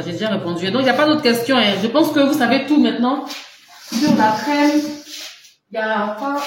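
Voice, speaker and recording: Woman live-stream seller with a runny nose, chatty, laughs a lot, reads out viewer comments; very echoey room, faint hiss. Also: a little high. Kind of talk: casual live-stream chat.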